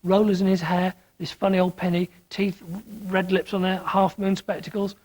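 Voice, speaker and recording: A man's voice: sits high, level tone, normal speed, darkish tone. A British man giving opinionated interview talk in an emphatic, theatrical manner.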